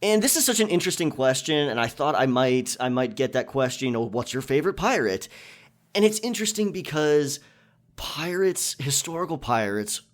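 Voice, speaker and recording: A man's voice: medium pitch, very animated, moderate pace. A man talking casually and reflectively as a channel creator, answering listener questions and explaining his thinking. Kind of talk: casual Q&A monologue.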